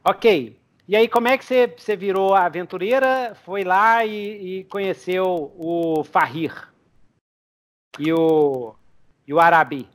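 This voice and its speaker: Game master, young man, high voice, rambling and improvising with chuckles. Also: slow pace. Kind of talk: narrating a tabletop game.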